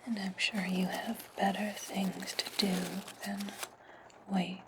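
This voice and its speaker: Woman playing a slow whispering, commanding witch. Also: medium pitch, little pitch movement, heavy background noise, lightly acted. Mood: coldly threatening. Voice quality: breathy, mostly unvoiced whisper. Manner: deliberate, imperious, menacing, drawn-out phrasing. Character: stern, commanding witch demanding servant's obedience. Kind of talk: slow whispered ASMR roleplay. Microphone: close ASMR microphone.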